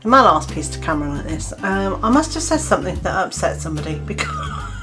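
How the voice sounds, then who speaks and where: A woman's voice: on the low side, big pitch swings, brisk, music in the background. A British woman in her fifties, a gardening channel host, giving an earnest opinion piece to camera with hesitant restarts and fillers. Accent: British English. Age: 50s.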